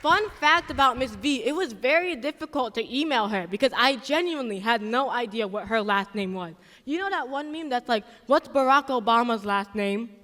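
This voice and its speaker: A man's voice: extremely high pitch, expressive, moderate pace, few pauses, bright, forceful tone, some chatter behind. A young man hosting a school assembly interview on a handheld mic, chatty and playful with teasing asides. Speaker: student event host. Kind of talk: joking live interview on stage. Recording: long hall reverb.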